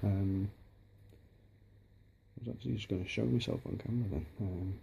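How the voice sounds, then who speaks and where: A man in his thirties from Liverpool, chatting casually on a home vlog with a softened Liverpool accent, rambling with many restarts and ums, filmed on a handheld camera in his dining room. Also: on the low side, steady tone, normal speed, vocal fry, muffled recording, heavy background noise. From Liverpool, England. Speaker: hobbyist vlogger. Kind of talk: casual home video chat.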